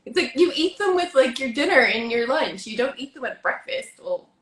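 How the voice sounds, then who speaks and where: A woman in her thirties hosting a casual interview, chatty and giggly, firing off light quick questions. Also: sits high, expressive, fairly bright tone. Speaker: host. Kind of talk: casual video chat interview. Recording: echoey room.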